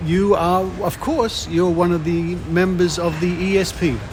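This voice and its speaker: A man's voice: high voice, fairly even intonation, measured pace, noisy background. A man, speaking as a podcast host. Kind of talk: casual interview chat and scripted promo reading.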